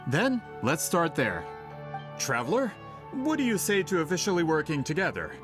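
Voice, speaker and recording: A man playing a polite, ambitious, smooth-talking writer in an anime game, courteous and theatrical. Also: a little high, big pitch swings, normal speed. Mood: persuasive. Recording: faint game music behind.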